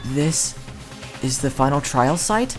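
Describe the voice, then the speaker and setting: A man's voice: medium pitch, expressive, slow pace. A man playing an earnest, anxious teenage boy, narrating dramatically in the first person for an anime fandub. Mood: tense, solemn. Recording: roomy echo, loud music behind.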